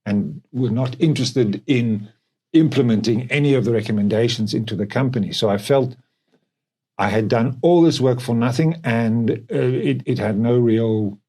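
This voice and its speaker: South African man, middle-aged, mellow relaxed voice, business co-founder talking reflectively on a podcast. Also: medium pitch, normal speed, husky. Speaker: company co-founder and podcast producer.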